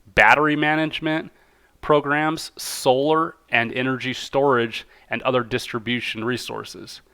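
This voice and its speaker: A man's voice: mid-range voice, sharp tone, clean tone. A man hosting a casual commentary video, informal and enthusiastic, moving between reading quotes aloud and giving his own slangy take. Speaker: video host, amateur stock commentator.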